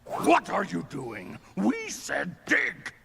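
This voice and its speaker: A man voicing a bossy, exasperated, dim-witted dog henchman with a gravelly voice, barking short blunt orders. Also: high voice, huge pitch swings, slow pace, full character voice. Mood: bossy, impatient.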